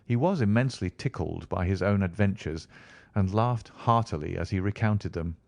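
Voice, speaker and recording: A man narrates a classic story in a fairly low voice. His reading is measured and formal, and the sound is dry, with very little room. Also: gravelly, no echo. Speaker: audiobook narrator. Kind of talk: scripted literary narration.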